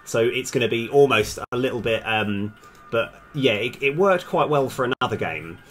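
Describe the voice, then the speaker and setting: A man's voice: medium pitch, lively intonation, normal speed, a bit bright, soft background music. A man thinking aloud in a casual, hesitant, self-deprecating way while live streaming as a game developer.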